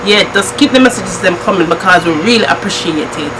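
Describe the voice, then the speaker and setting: A woman's voice: mid-range voice, quick pace, noisy background. A middle-aged woman from Jamaica with a Jamaican accent, chatting casually with her daughter on her vlog, laughing often and slipping into patois. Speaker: cooking channel host. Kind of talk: casual chat.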